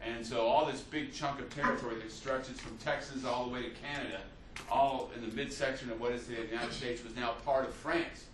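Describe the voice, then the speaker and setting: A man's voice: medium pitch, steady tone, brisk, hardly any pauses, fairly bright tone, slight background noise. Man lecturing in an echoey room. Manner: loose storytelling with frequent fillers and restarts. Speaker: history teacher. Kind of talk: unscripted classroom lecture. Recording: echoey room, mic at a distance.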